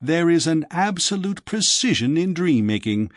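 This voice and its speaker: A man's voice: a little high, big pitch swings, normal speed, dry recording, studio quality, voice pushed up. A man voicing a sleek young dream-shop manager in an audiobook, with polished, formal, lecturing sales patter. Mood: suave, instructive.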